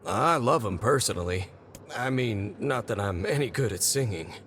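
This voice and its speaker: An actor playing a kind, easygoing postman in a video game, talking in a gentle, chatty, coaxing way. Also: medium pitch, animated, moderate pace, background noise, natural voice. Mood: gentle, caring. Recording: background music under the voice.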